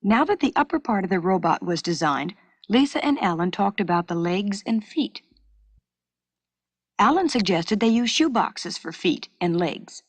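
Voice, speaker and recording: Expressive woman narrator, animated and slightly husky. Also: mid-range voice, no echo. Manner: expressive storytelling. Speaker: narrator of a children's educational filmstrip. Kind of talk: scripted children's story narration. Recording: dry 1970s educational cassette recording.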